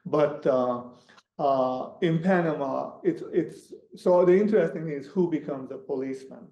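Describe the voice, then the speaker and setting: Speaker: man, Hungarian native, gravelly and mellow, fairly high voice, halting academic answers, echoey room. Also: lo-fi sound. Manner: halting, many restarts and fillers. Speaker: guest speaker. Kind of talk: academic talk answering questions. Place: lecture room.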